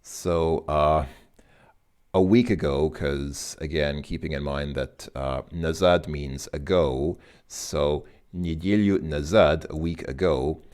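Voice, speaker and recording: Low-voiced male tutor, casual, hesitant, self-correcting explainer. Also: slow pace, a little mellow, smooth. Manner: thinks aloud, many fillers and self-corrections. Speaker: language teacher. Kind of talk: casual recorded lesson explanation.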